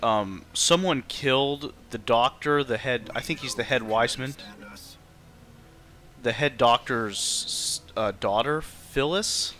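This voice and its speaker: A man's voice: medium pitch, bright, forceful tone. A man streaming a role-playing game, chatting casually and explaining the story while searching for words.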